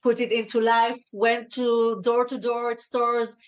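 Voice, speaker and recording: A middle-aged woman from Israel with an Israeli accent, talking earnestly and haltingly as a guest, often tagging "right?". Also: on the high side, flat delivery. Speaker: guest, fashion stylist. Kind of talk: casual interview chat.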